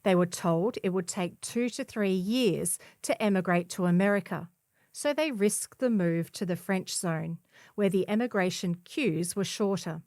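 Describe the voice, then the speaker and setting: A woman narrates a written feature story for a community paper. Her voice sits a little low for a woman, and it is captured very dry, with hardly any room sound. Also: a little slow, darkish tone, no echo. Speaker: volunteer reader of a community newspaper. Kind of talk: scripted narration of a feature article.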